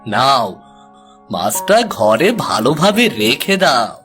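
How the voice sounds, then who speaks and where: A man playing a poor, good-hearted, cheerful village fisherman in a cartoon folk tale, with drawn-out exclamations. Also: medium pitch, big pitch swings, fairly bright tone, soft background music. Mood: cheerful, reassuring.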